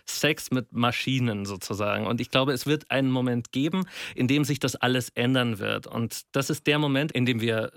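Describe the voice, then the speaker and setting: A man's voice: mid-range voice, moderate pace, vocal fry, hardly any pauses, fairly bright tone, no echo, studio quality. A man in a conversational discussion, explaining and rephrasing points as they form.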